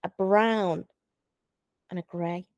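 A woman's voice: on the low side, steady tone, slow pace, no echo, studio quality. A woman with a Liverpool accent co-hosting a podcast, chatting casually and acting out the stories she tells. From Merseyside, England. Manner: chatty storyteller, acts out quotes. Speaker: podcast co-host. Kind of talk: casual chat. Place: home recording with a faulty mic.

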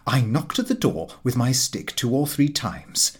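Expressive male audiobook narrator with lively intonation. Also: mid-range voice, a little slow, breathy edge. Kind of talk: scripted narration of a comic diary novel.

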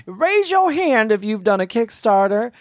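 A man's voice: high voice, sing-song, a little slow, no echo, studio quality. A man co-hosting a cooking podcast in casual chat, upbeat and chatty, laughing often. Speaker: podcast co-host and cookbook author.

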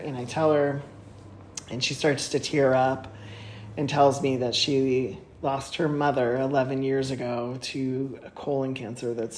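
Woman podcast co-host, low voice, echoey room, faint hiss, animated storyteller who laughs often. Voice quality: laughs often while talking. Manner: animated retelling, voice jumps high when excited. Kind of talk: casual storytelling chat.

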